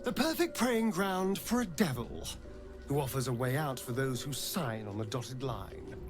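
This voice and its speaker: An actor playing a sly, suave, secretive schemer in a video game, with arch, dramatic delivery. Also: male voice, mid-range voice, animated, normal speed, over music, natural voice. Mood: darkly dramatic. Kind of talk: video game character dialogue.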